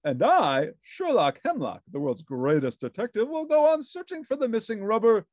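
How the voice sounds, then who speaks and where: A woman voicing a pompous, excitable male detective who declaims grandly in a children's storybook. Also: on the high side, normal speed, no echo, muffled recording, pitched above the performer's own voice. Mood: proud, declaiming. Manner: theatrical, declaiming, self-important.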